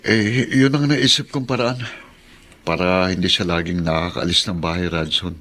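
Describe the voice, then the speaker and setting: A controlling young husband in a Filipino radio drama. His voice sits slightly low and is tense and bright. He comes across as stubborn and hot-tempered. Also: fairly even intonation, normal speed. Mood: stubborn, defensive.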